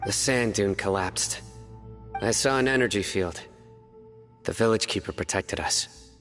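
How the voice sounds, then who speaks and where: A man playing a calm, stoic, observant adventurer in a video game, measured and serious. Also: lowish voice, faint music behind. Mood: pensive. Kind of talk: anime game voice acting.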